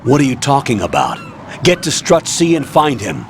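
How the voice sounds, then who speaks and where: A stern commanding officer in a game cutscene, issuing clipped orders without patience. His pitch sits in the middle range, with faint background noise. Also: wildly swooping pitch. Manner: brisk, curt, authoritative. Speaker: mission commander.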